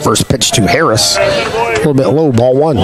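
A man calling a local baseball game play by play, his voice scratchy and hoarse, chatting folksily between pitches. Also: sing-song, moderate pace, over loud music. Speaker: play-by-play announcer.

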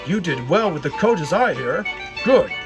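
Man as a proud, animated big express engine. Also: a little high, unhurried, over music. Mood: settled. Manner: boastful, cross.

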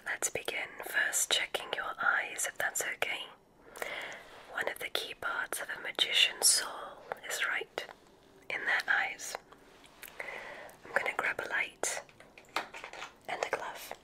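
A woman performs an ASMR roleplay as a kindly witch examiner. She speaks in a breathy whisper with almost no voice behind it. Her delivery is slow, gentle and soothing, with softly theatrical phrasing. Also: rough recording. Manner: gentle, unhurried, soothing, theatrical word choice with soft repetitions. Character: kindly witch medical examiner at a magic school.

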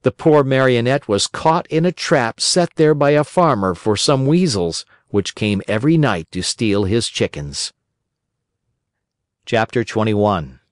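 A man's voice: medium pitch, expressive, unhurried, dry recording, clean studio sound. A man narrating a children's story as a scripted audiobook.

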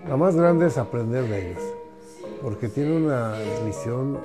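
An older man, a priest who runs a migrant shelter, speaking earnestly and in a measured way in a documentary interview. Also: mid-range voice, expressive. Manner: earnest, measured, pausing with fillers. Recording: guitar music underneath.

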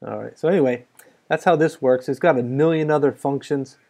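A man's voice: mid-range voice, a little fast, vocal fry, warm tone. A middle-aged American man giving a casual, laid-back, slightly rambling walkthrough as a radio hobbyist. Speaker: amateur radio hobbyist demonstrating software.

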